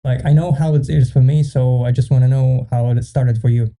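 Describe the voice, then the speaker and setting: Mellow-voiced male podcast host, thirties. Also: medium pitch, a little fast. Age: 30s. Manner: relaxed, frequent short affirmations. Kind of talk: casual interview.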